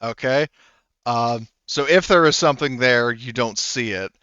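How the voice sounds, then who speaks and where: A man working as game master in a tabletop role-playing game, casually narrating and thinking aloud haltingly through the rules. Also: mid-range voice, bright, forceful tone, clear, resonant tone, no room sound, muffled recording.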